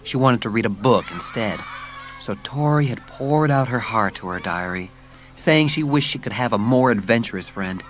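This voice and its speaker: A man's voice: mid-range voice, lively intonation, normal speed, fairly bright tone. A man reading scripted narration as the storyteller of a dramatised audiobook.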